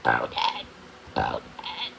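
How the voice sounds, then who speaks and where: A woman voicing a male frog with a very deep, croaking voice in a storybook reading. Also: extremely slow, fairly bright tone, a little hiss or hum. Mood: croaking, calling. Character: small male frog croaking in his deepest voice.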